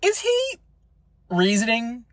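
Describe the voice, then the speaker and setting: A man's voice: very high voice, huge pitch swings, a bit bright, a little hiss or hum, heavily acted. A man playing an anxious, sarcastic space scout in a comedy radio drama, nervous and wry. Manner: nervous, wry, fast-worried.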